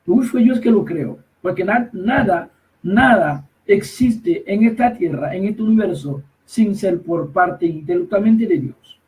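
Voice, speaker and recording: A man with a fairly high voice preaches insistently, repeating himself and breaking off mid-phrase. He stands some distance from the microphone, so his voice sounds set back in the space. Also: animated, darkish tone. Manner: emphatic, repetitive, halting with restarts. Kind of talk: preaching commentary.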